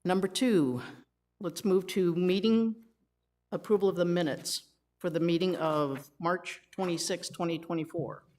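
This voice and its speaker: A woman's voice: lowish voice, measured pace, darkish tone. Joking woman chairing a community meeting. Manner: wisecracking, reads formal rules then jokes with the room. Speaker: committee chairperson.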